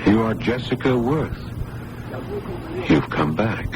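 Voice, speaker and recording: On a noisy old radio recording, a male hospital doctor with a low voice. He is earnest and concerned, measured but a little hesitant, in the manner of radio drama. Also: animated. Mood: gentle, concerned. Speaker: actor playing a doctor. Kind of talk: 1980s radio drama acting.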